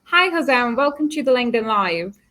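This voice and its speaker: A woman's voice: fairly high pitch, animated, moderate pace, pushed and bright. A woman hosting a live online career series, upbeat and dropping frequent "um" fillers, speaking over a video call. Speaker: host.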